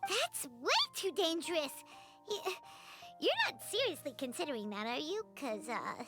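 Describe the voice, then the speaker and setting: A woman voicing a tiny, childlike fairy companion in a video game, chirpy, squeaky and outspoken. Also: sing-song, moderate pace. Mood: alarmed, puzzled. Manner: squeaky, speaks of herself in third person. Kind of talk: scripted video game dialogue. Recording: loud game music behind.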